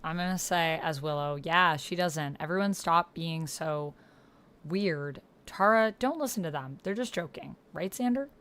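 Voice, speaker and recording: Lower-voiced woman chatting casually over a game. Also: unhurried, fairly bright tone. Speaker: host playing a text-based roleplaying game. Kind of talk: casual chat while playing along with typed roleplay.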